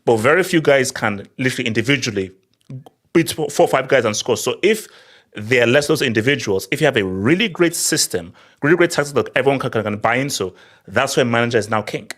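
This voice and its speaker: A man's voice: medium pitch, animated, fast talker, fairly bright tone. A man hosting a casual football discussion, moderating the panel and often repeating words and phrases for emphasis. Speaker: host of a football discussion show.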